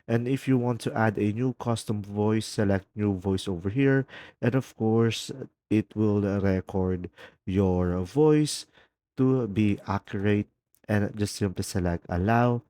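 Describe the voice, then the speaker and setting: A man's voice: on the low side, measured pace, warm tone. A man hosting a casual how-to tutorial, talking the viewer through each step. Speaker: tutorial host.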